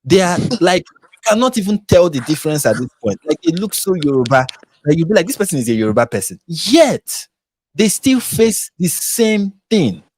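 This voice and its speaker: A Nigerian man hosting a live discussion, talking with animated emphasis and frequent restarts, his voice rising when he stresses a point. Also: high voice, normal speed, breathy edge, poor audio. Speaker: host.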